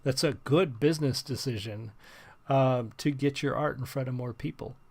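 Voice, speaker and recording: A man reacting to and reviewing a song in casual commentary, pausing often with ums and restarting sentences. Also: mid-range voice, slightly rough. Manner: hesitant, many ums and restarts. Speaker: music reviewer.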